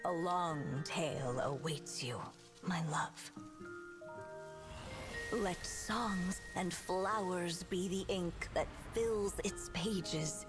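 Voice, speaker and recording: A dying storyteller in a game, tender and motherly. Her voice sits a little low and moves very slowly, soft and solemn. Prominent music plays behind her. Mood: tender farewell. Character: gentle dying woman storyteller, tender motherly writer saying farewell. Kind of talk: dramatic video game voice acting. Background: loud music.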